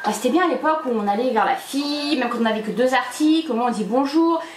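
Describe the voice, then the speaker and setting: A woman talks as a guest in a casual chat, expressive and opinionated, pausing on fillers. Her voice is high and sounds in an echoey room with faint noise behind. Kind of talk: casual chat over a cocktail.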